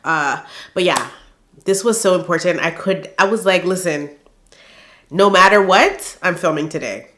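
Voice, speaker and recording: A woman in her thirties with a fairly low, nasal voice, clogged up by the flu. She dishes celebrity gossip in a lively, chatty way, laughing often and calling her listeners "girl". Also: a little slow. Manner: animated, laughing, addresses viewers as girl. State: stuffy nose from the flu. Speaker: gossip channel host. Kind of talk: casual celebrity gossip chat.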